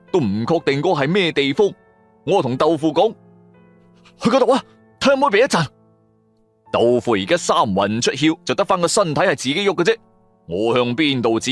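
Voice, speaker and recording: A man with a Cantonese accent narrates a supernatural story in the first person, in a dramatic and colloquial way. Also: on the high side. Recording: music bed under the voice. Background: background music.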